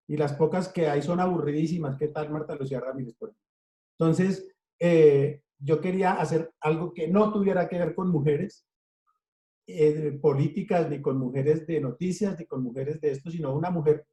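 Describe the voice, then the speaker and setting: A middle-aged Colombian man with a Colombian accent, telling an unhurried story in an interview and listing things in rhythmic runs. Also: fairly high pitch, dark tone. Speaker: interviewed cartoonist. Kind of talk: relaxed storytelling. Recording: echoey room.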